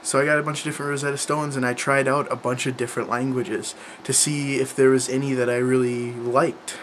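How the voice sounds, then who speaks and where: A man's voice: mid-range voice, level tone, fairly quick, heavy background noise. A young man chatting casually to camera about learning languages, rambling, with lots of fillers and restarts. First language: English.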